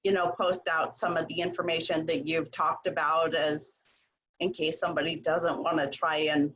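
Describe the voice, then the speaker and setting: A woman hosting a video call interview, talking in a relaxed, reflective way full of fillers. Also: deep voice, moderate pace, lo-fi sound. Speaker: coach, host.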